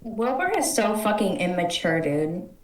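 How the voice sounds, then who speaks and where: A woman's voice: medium pitch, animated, moderate pace, reverberant, crowd noise. A woman gives casual, opinionated reactions and swears freely as she comments on a story.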